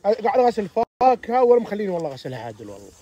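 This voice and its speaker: A man's voice: high voice, big pitch swings, very fast, mellow. A man arguing defensively and complaining while his friends chatter at an outdoor desert camp. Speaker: friend on the trip. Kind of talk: casual argument.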